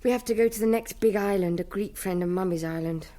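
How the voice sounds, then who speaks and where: A woman's voice: medium pitch, fast talker, dark tone, natural voice. An actress playing an eager, needy young rich woman in a radio drama, hesitant and anxious to please.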